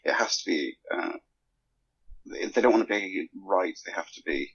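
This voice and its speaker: A man's voice: sits low, moderate pace, creaky, a bit bright, husky. A man talking casually as a guest author on a group podcast. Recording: muffled lo-fi voice chat audio.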